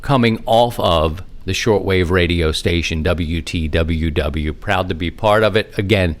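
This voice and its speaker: A middle-aged man hosting a hobby podcast alone, talking in a casual, rambling, conversational way with animated asides. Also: low-pitched, measured pace. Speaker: amateur radio podcast host. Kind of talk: casual solo podcast monologue.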